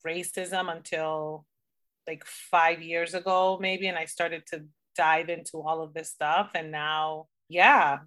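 A woman from the Dominican Republic talks as an interview guest. Her voice is bright and slightly low in pitch. She tells personal stories in a candid, informal, conversational style.

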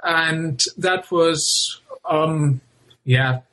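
A man from Germany in his thirties, a guest author in an interview, speaking in a measured way with many "um"s. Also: fairly high pitch, bright, forceful tone.

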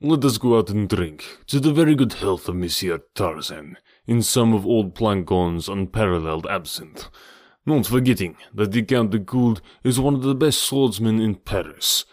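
A man voicing a suave, scheming villain who gloats in a deliberate, theatrical way in an audiobook. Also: lowish voice, no room sound, clean studio sound. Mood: smug, gloating. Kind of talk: audiobook character dialogue.

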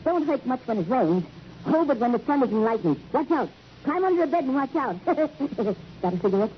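A woman's voice: high-pitched, very animated, normal speed. Brassy, swooping skid row landlady. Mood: grumbling. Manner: slangy, streetwise, cagey. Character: brassy, evasive skid row hotel proprietress. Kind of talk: classic radio crime drama acting. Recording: dull old radio recording, faint noise.

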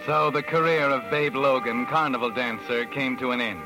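Sardonic mystery storyteller played by a man, dramatic and wry, medium pitch, old radio broadcast with music behind. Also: moderate pace, lightly acted. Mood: wry, ominous. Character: knowing, sardonic male mystery narrator. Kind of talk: 1940s radio drama narration.

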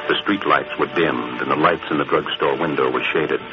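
A man's voice: rumbling low, steady tone, normal speed, over music. A man playing a dramatic, suspenseful narrator of a 1940s radio crime serial. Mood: tense, hushed. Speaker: announcer and narrator. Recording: muffled, noisy old radio recording.

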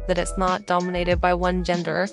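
Woman, middle-aged, from California, business founder interviewed for a feature; raspy fry, steady even pitch, sincere upbeat manner. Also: normal speed, music in the background. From Central Coast of California. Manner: earnest, upbeat storytelling with light laughs. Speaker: wine company co-founder. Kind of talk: documentary interview.

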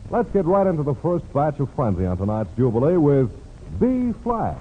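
A man's voice: a little high, big pitch swings, normal speed, noisy background. An American man hosting a 1940s radio music show with showy, florid hepcat patter. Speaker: radio show host, emcee. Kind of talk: 1940s jive radio emceeing. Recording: tinny vintage radio recording, music behind.

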